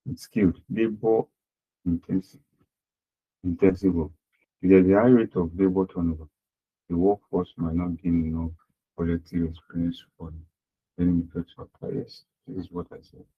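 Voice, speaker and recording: A deep-voiced man with a husky edge teaches accounting over an online call. He repeats his points and asks the class rhetorical questions. The sound is dull and lo-fi. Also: fairly even intonation, moderate pace, long pauses. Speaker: accounting lecturer. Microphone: online class audio.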